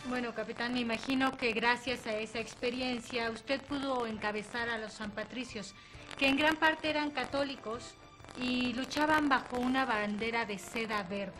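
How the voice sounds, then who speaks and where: A woman co-hosting an educational interview, asking clear, informative questions. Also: fairly high pitch, moderate pace, low-quality recording. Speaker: co-host. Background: music underneath.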